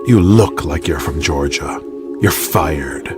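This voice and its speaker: An actor playing an arrogant, menacing wrestling mogul who sneers and domineers in an audio drama. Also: male voice, a bass voice, takes it slowly, over music. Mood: contemptuous. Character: arrogant, menacing, lecherous male wrestling mogul, cruelly dismissive.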